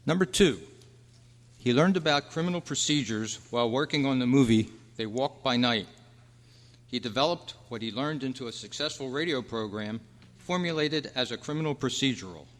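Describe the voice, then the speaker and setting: A man's voice: mid-range voice, expressive, moderate pace. A man hosting a trivia quiz at a club meeting, reading questions aloud to the room. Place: indoor meeting room at a convention. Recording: echoey hall, long reverb.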